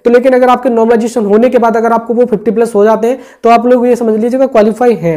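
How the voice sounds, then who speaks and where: A man's voice: high-pitched, mellow. A man giving an informal explanatory briefing as an online video host, repeating his points and often adding small check-in tags. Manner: repetitive, with frequent check-in tags. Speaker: exam-update YouTube host.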